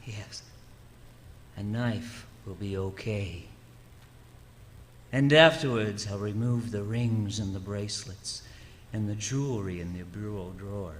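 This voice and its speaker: Slow, echoing cold-blooded hitman. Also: on the low side. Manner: slow, matter-of-fact, menacing. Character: cold-blooded male hired killer, businesslike and calm. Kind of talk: radio thriller acting. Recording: echoing hall, faint background noise.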